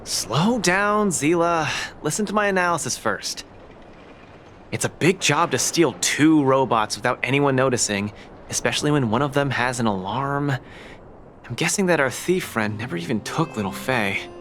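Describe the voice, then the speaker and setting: A man's voice: medium pitch, animated, normal speed, a bit bright, a little hiss or hum, natural voice. A young man playing a confident, brash and friendly muscular young fighter in a video game. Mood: pondering. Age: young adult. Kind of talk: scripted game voice acting.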